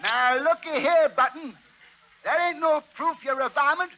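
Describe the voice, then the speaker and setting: High, sharp-voiced folksy cowboy. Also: male voice, moderate pace, low-quality recording. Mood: scolding. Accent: American Western drawl. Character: folksy old-west cowboy, blustering, plain-spoken, amused. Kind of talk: old-time radio western acting. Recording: old radio broadcast recording.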